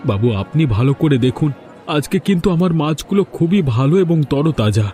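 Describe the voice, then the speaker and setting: A man's voice: fairly high pitch, lively intonation, fairly quick, dark tone, soft background music, natural voice. A man voicing an aggrieved, put-upon village fisherman in a cartoon. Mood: insistent. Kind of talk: Bengali cartoon voice acting.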